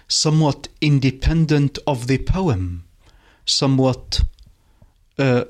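Guy, poet, talks really slow and halting, gravelly, keeps repeating words. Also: medium pitch. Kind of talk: reflective interview answers.

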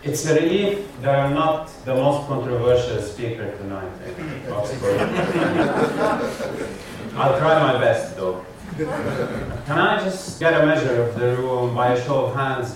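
A man from Lebanon with a Lebanese accent, giving a humorous public lecture as a satirist, with wry joking asides to the audience. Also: mid-range voice. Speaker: guest speaker, satirist. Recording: echoey hall, distant mic, audience noise.

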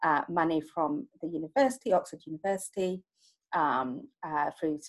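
Woman, low pitch, casual podcast talk, hesitant, filler-heavy. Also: moderate pace. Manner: hesitant, many fillers, laughs often. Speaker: project co-lead.

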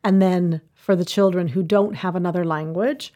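A woman's voice: fairly low pitch, steady tone, normal speed, dark tone. A woman being interviewed, laying out her points in order in an earnest, explanatory way. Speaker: interview guest, language education expert. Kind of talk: measured conversational explanation.

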